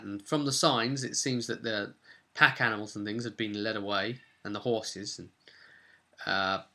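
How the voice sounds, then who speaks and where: Male game master, bright forceful voice, mid pitch, casual and rambling recap of a tabletop campaign.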